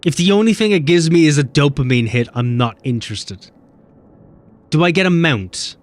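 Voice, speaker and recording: A man streaming a game, chatting casually and swearing as he bickers with chat. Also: on the high side. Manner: animated, swearing, quick banter with chat.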